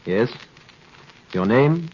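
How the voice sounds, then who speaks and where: Casting: authoritative royal prosecutor, clipped and formal, medium pitch, vintage radio drama on a dull recording. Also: male voice, expressive, very slow, a little mellow, slightly rough, exaggerated voice. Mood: brisk, probing. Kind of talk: 1930s radio acting. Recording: muffled, noisy 1930s radio recording.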